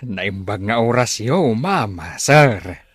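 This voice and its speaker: A man's voice: lowish voice, animated, slow pace, slightly rough, no echo, studio quality, heavily acted. A man playing a humble, hospitable rural father in a radio drama, speaking courteously. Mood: welcoming.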